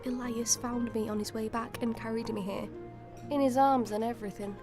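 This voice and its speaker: Woman voicing a hushed, playful young assassin girl. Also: on the high side, steady tone, moderate pace, voice pushed up, close to an everyday voice. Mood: wry, playful. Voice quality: hushed, breathy whisper. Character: young female assassin, playful and chatty, self-mocking. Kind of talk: audiobook character dialogue. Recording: music behind the voice.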